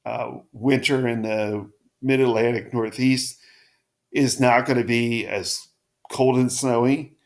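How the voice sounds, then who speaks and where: Man, hoarse froggy voice, slow, halting, casual forecast talk. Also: medium pitch, steady tone. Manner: slow, halting, many restarts and fillers. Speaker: weather forecaster.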